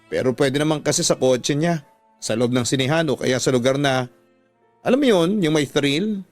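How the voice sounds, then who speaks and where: Male narrator as a dissatisfied young Filipina, confiding complaints, fairly high voice, radio drama with music underneath. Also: normal speed, no echo, lightly acted. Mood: exasperated. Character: restless, dissatisfied young woman confiding in her friend.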